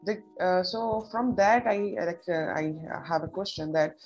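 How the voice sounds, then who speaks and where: A woman's voice: on the low side, normal speed, a little mellow, highs cut off, soft background music. A woman interviewing a guest, encouraging and full of short acknowledgements. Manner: encouraging, many short backchannels. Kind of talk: casual conversational interview.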